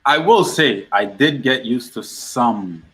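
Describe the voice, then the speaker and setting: Relaxed middle-aged guy from guyana, chatting on a podcast, lots of ums and repeats. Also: mid-range voice. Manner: laid-back, repeats himself, halting with fillers. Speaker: guest hip hop artist. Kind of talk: casual interview chat. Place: podcast studio.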